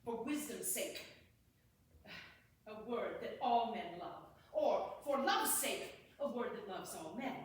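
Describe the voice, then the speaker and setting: A woman playing a witty, passionate young lord in a Shakespeare staged reading, declaiming verse with dramatic pauses. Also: a little high, expressive. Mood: rapt, persuasive. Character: witty, passionate young lord, eloquent lovesick male scholar. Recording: distant, echoey hall with faint noise.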